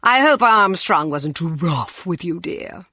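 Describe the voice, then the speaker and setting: An actress playing a haughty, coolly cruel aristocratic villainess with a condescending, theatrical drawl in a video game. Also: female voice, fairly low pitch, lively intonation, measured pace, bright, forceful tone, husky, no room sound, studio quality, exaggerated voice. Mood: mocking.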